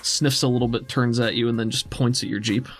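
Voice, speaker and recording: A man's voice: mid-range voice, fairly even intonation, brisk, faint music behind. A man improvising narration as the game master of a tabletop role-playing game.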